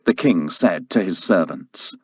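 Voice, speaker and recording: A man narrating a children's story from a script in an expressive, deliberate way. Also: low voice, breathy edge, no echo, studio quality.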